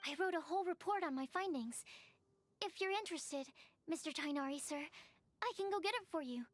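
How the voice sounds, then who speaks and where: A woman's voice: very high-pitched, animated, fairly quick, a little hiss or hum. A woman playing a shy, earnest young female alchemist in an anime-style game, polite and slightly hesitant. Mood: eager, polite.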